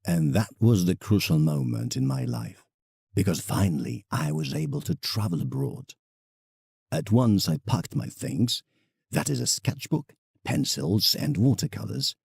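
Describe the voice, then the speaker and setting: A man's voice: low-pitched, vocal fry, darkish tone, husky, no room sound, studio quality, full character voice. A man playing a proud, reflective English landscape painter in an audio drama, telling his travels formally as a letter to a friend.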